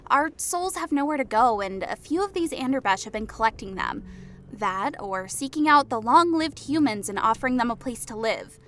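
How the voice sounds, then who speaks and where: A woman's voice: a little high, animated, a bit bright. A woman voicing an impatient, snappish female officer giving reports in an audiobook. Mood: brisk. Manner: brisk, snappish. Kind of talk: audiobook dialogue reading.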